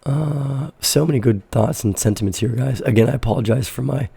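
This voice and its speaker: Hoarse, gravelly man in his sixties. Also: medium pitch, brisk, mellow, no echo. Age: 60s. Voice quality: raspy. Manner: blunt, profane and fiery. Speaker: host of a live crypto trading show. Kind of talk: live-stream chat answering viewer questions.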